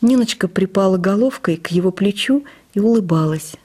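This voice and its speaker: A woman reading a memoir for radio as expressive, intimate literary narration. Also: medium pitch, brisk.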